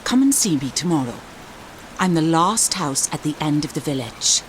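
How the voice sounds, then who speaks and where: Man as an animated village doctor. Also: fairly high pitch, moderate pace, a little hiss or hum, close to an everyday voice, low-quality recording. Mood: helpful. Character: helpful, plain-spoken village doctor. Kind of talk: audio drama acting.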